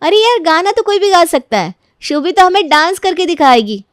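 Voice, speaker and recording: A woman's voice: very high voice, sing-song, normal speed, no room sound, clean studio sound, voice pushed up. A woman voicing a cocky young female college senior who taunts and bosses, acted for a moral story. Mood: mocking, bossy. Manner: taunting, bossy. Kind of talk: Hindi moral story character acting.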